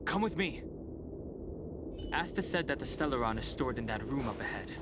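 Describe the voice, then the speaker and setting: A man's voice: mid-range voice, animated, moderate pace, close to an everyday voice. Man as a serious young warrior, noisy background. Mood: urgent, stern. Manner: terse, businesslike. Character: serious, urgent young male warrior companion. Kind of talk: acted video game dialogue. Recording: clear background noise.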